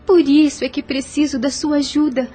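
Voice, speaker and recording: A high, melodramatic young heroine of a period radio romance, acted by a woman, sighing and pleading with sorrow. Clear music plays behind her emotive lines. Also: level tone, normal speed, warm tone, natural voice. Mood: grieving, pleading. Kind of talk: audio novel acting.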